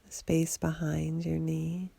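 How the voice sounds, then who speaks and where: A woman leads a guided relaxation in a low voice with very heavy creak. She gives gentle instructions without hurry, soothing and steady. The delivery stays very even in pitch. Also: slow, dry recording. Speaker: meditation guide. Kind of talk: guided body scan meditation for sleep.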